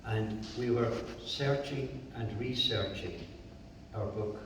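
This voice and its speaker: Slow-speaking Irish man in an echoey hall. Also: fairly low pitch, background noise. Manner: slow, jovial, chuckles at his own jokes. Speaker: co-author giving a library talk. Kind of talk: public talk to an audience. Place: library event hall. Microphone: distant room mic. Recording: echoey hall recorded from far off.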